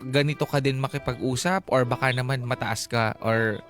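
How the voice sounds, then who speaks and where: A man's voice: medium pitch, steady tone, moderate pace, fairly bright tone. Filipino male radio host over background music. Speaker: radio advice show host. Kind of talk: conversational advice interview, code-switching. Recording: radio broadcast with music underneath.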